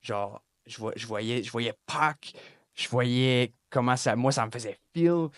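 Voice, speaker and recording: A man's voice: mid-range voice, a little slow, dry recording, studio quality. A young man, a rapper guest talking casually and mixing with English slang. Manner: relaxed, mixes French and English slang. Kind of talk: casual interview chat.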